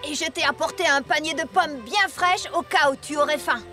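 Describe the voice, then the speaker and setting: A woman's voice: high voice, moderate pace, pushed and bright, faint music behind. A woman playing a supportive, down-to-earth young female pony in a cartoon dub, straightforward and reassuring. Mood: friendly, reassuring. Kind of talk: animated cartoon dub acting.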